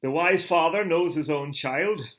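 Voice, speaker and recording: A middle-aged man giving a theatrical reading of a novel, moving from hushed murmurs to booming calls. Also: measured pace. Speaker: reader of a novel. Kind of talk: dramatic literary reading.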